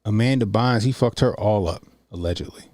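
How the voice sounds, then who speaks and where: A man's voice: fairly low pitch, fairly quick, a little mellow, no room sound. A man, chatting loosely and profanely while reacting to a video. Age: 40s. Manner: loose, profane, rambling with slang. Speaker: commentary streamer. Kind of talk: casual reaction stream.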